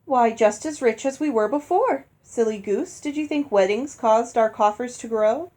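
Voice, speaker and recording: A woman voicing a smooth-talking, placating father in a storybook, full of sweet endearments. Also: a little high, normal speed, warm tone, lightly acted. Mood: teasing.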